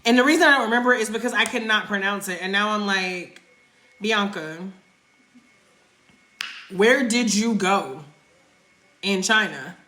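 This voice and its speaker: A bright, forceful-sounding woman from North Carolina chats through a livestream Q&A, rambling casually and leaning on "like" as she reads and answers comments. Her voice bounces around an echoey room, picked up on a phone mic under a ring light. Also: medium pitch, a little slow, long pauses. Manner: chatty and rambling, many "like" fillers, answers comments aloud. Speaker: travel creator hosting a live Q&A. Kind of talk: casual livestream chat reading viewer comments.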